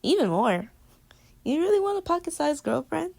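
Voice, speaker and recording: A woman's voice: sits high, very animated, normal speed, creaky, darkish tone, heavily acted. A woman playing a playful, clingy young girlfriend in an intimate pillow-talk roleplay audio, teasing and affectionate as she rambles between murmurs and exclamations. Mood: playful, curious.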